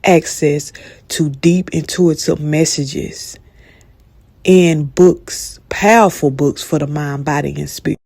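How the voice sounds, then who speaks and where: A woman reading a scripted promo in a smooth, inviting delivery. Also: sits low, takes it slowly, darkish tone, no room sound. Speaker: promo narrator.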